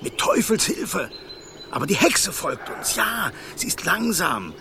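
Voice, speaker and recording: Brusque, swooping golden snake. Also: male voice, sits high, sing-song, measured pace, vocal fry, background noise, heavily acted. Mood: scolding. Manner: brusque, scolding, exclamatory. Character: bossy, grumpy male golden snake, secretly caring guide. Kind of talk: German radio play acting.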